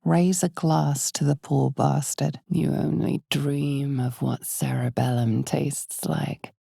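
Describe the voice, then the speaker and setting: A woman voicing an ominous, knowing narrator of a dark fantasy game, speaking in a measured, dramatic, often hushed way. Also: low-pitched, fairly even intonation, gravelly, breathy edge, dry recording, clean studio sound, full character voice. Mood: knowing, grave.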